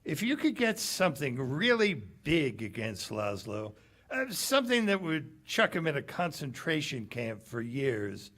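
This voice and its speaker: A man acts out a hard-boiled, scheming cafe owner in a 1940s radio play. He is brash and sardonic, with a sharp, forceful voice. His delivery is slow-paced and very animated in pitch. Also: exaggerated voice. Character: cynical, streetwise American male cafe owner, bluffing and scheming. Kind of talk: 1940s radio theater acting.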